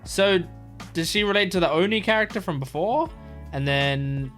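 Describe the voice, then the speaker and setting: A man with a slightly high voice hosts a reaction video. He comments casually and gushes with enthusiasm. Faint music sits behind his voice. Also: normal speed, fairly bright tone. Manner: gushing, enthusiastic.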